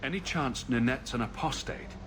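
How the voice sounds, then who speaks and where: An actor playing a terse, guarded adventurer hero in video game dialogue. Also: male voice, mid-range voice, moderate pace, noisy background, natural voice. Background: game music.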